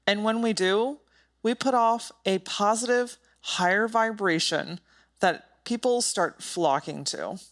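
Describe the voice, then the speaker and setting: A woman's voice: medium pitch, slow pace. A woman hosting a solo motivational podcast, speaking in an emphatic, direct and informal way with some casual swearing. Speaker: podcast host.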